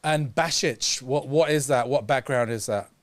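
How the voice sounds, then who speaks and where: A man co-hosting a casual studio chat as editor, asking relaxed, friendly questions. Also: medium pitch, moderate pace, dry recording. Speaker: editor and co-host.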